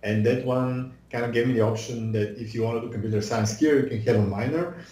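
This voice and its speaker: A man's voice: medium pitch, steady tone, fast, mellow. A man in his thirties who grew up in Bulgaria, chatting casually in an interview over a video call, with many fillers and restarts. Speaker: guest, visual effects artist. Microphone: video call webcam mic. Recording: roomy echo on a video call.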